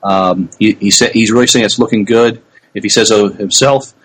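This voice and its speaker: A man with a fairly low voice co-hosts a podcast. He chats casually in a halting, mild-mannered way. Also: fairly even intonation, fairly bright tone, breathy edge, low-quality recording. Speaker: podcast co-host. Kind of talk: casual chat.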